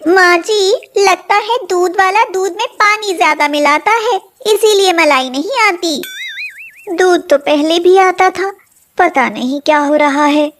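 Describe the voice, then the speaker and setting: A very high, sweetly wheedling young daughter-in-law acted by a woman storyteller. She is sly and food-loving, and puts on wide-eyed innocence in an unhurried storybook delivery. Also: fairly bright tone. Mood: feigned innocence. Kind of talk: animated moral story acting.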